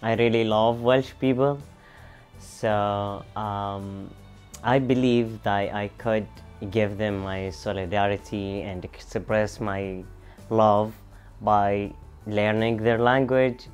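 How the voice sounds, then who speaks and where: Man, 20s, from Saudi Arabia, slow, smooth voice, music bed. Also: lowish voice, fairly even intonation. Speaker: learner.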